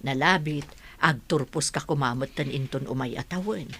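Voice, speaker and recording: A woman's voice: rumbling low, moderate pace, a bit bright, slightly rough, exaggerated voice. A woman playing a concerned middle-aged mother in a radio drama, coaxing and earnest. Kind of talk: Ilocano radio drama acting.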